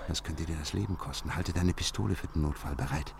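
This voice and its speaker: A man's voice: rumbling low, fast, creaky. An actor playing a shrewd, commanding male detective in a German radio drama, speaking with theatrical deliberation. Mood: hushed, commanding. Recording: noisy radio play recording.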